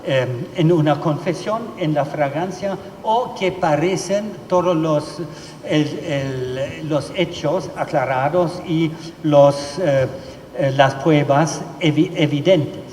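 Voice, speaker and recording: A man gives a formal talk on law in a large hall. He speaks slowly in a fairly high voice, pausing often on fillers and restarting phrases. The sound is roomy, with a long echo and faint room noise behind it. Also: darkish tone. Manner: halting, many fillers and restarts. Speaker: legal expert speaker.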